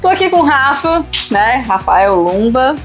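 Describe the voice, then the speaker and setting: A woman's voice: sits high, big pitch swings, measured pace. A woman hosting a casual podcast chat in an informal, teasing manner. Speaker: podcast host. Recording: music playing behind the voice. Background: music.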